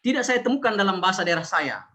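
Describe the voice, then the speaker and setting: Male participant from Karo, earnest and repetitive, hesitant fillers, slightly high voice. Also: big pitch swings, fast, sharp tone. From Karo, North Sumatra. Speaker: seminar participant asking questions.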